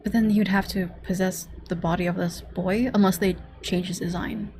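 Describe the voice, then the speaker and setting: A woman with a mid-pitched voice reacts live as a gaming streamer. Her talk is casual and informal, full of short exclamations and loose phrasing. Faint game music sits behind her. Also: steady tone, moderate pace.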